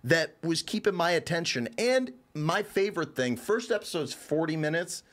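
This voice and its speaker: A man with a slightly high voice talks through a review in an informal way. He rambles conversationally and speaks in loose, everyday language. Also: animated, normal speed, fairly bright tone. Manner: rambling, informal, swears casually. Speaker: reviewer on a show. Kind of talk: casual conversational review of an animated series.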